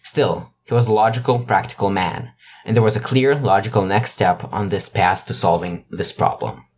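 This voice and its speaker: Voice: sits low, normal speed, a little mellow, slightly rough. An amateur narrator reading a scripted piece of fiction. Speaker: narrator, amateur podfic reader.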